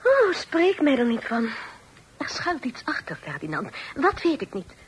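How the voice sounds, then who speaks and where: An actress playing a teasing, playful young sister in a period radio play, speaking in formal, old-fashioned phrases. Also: female voice, high voice, huge pitch swings, unhurried, exaggerated voice. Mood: confiding, gossipy. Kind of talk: Dutch radio play acting. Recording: noisy old radio drama recording.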